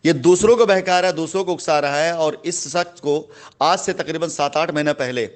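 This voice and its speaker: A man speaks in a moderately high voice. He addresses customers like a company head making a formal announcement. His delivery is indignant and emphatic, with phrases repeated for stress. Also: moderate pace. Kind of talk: voice note announcement, speech-like monologue.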